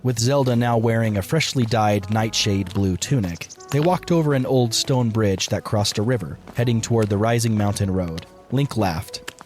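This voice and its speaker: A man's voice: fairly low pitch, moderate pace, a little mellow, soft background music. A man reading scripted story narration for an audio drama.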